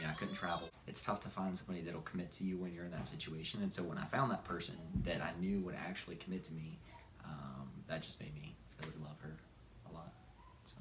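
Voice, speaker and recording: A man in his twenties chatting casually and earnestly as he answers viewer questions as a husband. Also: deep voice. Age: 20s. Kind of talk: casual couple Q&A chat. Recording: echoey room with background noise.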